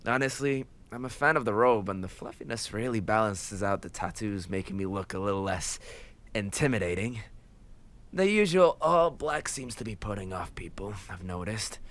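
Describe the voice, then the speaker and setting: A tough gang leader boyfriend played by a man talks in a fairly low voice to his sweetheart. He is playful and fond, teasing and mock-complaining with casual swearing. It is an intimate romantic audio roleplay, with his soft side showing. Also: lively intonation, normal speed.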